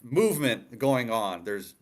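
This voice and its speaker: A man's voice: medium pitch, sing-song, takes it slowly. A man in a casual group discussion, explaining his views on writing at length with emphasis and repetition. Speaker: screenwriter giving feedback. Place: online meeting room.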